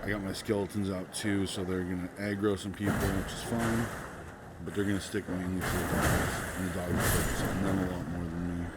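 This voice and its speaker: A man's voice: sits low, flat delivery, a little fast. A man who is sick, giving casual, rambling commentary over his gameplay as a channel host. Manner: laid-back, rambling, many ums. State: sick, voice a bit off. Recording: loud background noise.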